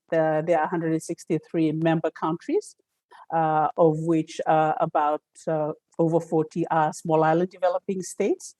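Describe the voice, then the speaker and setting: A woman from Fiji, an energy analyst answering interview questions with many fillers and pauses, speaking over a remote call. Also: low voice, warm tone, no echo.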